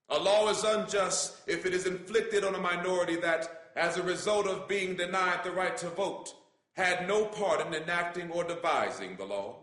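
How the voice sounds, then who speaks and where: A man gives a formal, measured reading of a written letter as solemn, emphatic oratory. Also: sits high, fairly bright tone. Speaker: reader. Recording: roomy, echoing sound.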